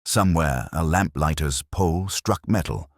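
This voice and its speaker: A man reading a first-person detective story aloud in a measured, dramatic audiobook style. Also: extremely low pitch, no echo, clean studio sound. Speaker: narrator of detective audio fiction.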